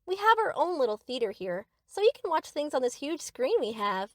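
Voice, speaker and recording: Woman playing a high, animated, gushing yandere girl. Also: fairly quick, no room sound, studio quality. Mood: gushing, proud. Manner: doting, cheerfully obsessive, boastful. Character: gushing, possessive yandere best friend, young woman painter. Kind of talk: scripted ASMR roleplay, addressing the listener.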